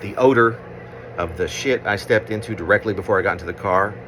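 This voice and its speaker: A man reading his own autobiographical poem aloud as a poet, phrasing deliberately with pauses mid-sentence, in a noisy recording. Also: on the low side.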